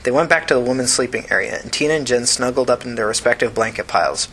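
A man's voice: medium pitch, lively intonation, fast talker, bright, forceful tone, husky, rough recording. A man reading scripted fiction aloud as an audiobook narrator.

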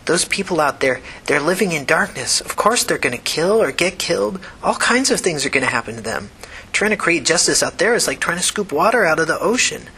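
A man voicing an earnest young woman, a devout cult follower who talks in an urgent, hushed, preachy way. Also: lowish voice, animated, a little fast, breathy edge, voice pushed up, full character voice. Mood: solemn, preachy.

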